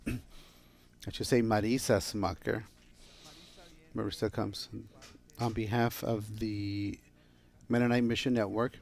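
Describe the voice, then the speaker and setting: A man in a church introducing a guest speaker, halting and often correcting himself. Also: lowish voice, fairly even intonation, vocal fry, darkish tone. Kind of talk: church announcement. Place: church sanctuary.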